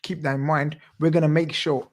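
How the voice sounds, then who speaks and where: A man's voice: on the high side, little pitch movement, brisk. A young man hosting a casual live football chat show, upbeat, repeating phrases and reading out viewer comments. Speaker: show host.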